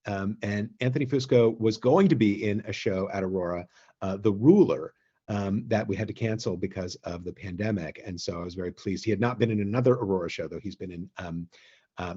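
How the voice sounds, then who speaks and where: Male talk host, husky low register, conversational and hesitant, lo-fi sound. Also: dark tone. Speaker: host and theatre director. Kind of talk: casual online talk introducing clips.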